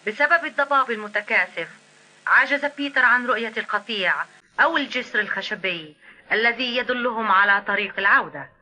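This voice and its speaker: A woman's voice: a little high, sharp tone, rough recording. A woman reading scripted story narration for a children's cartoon.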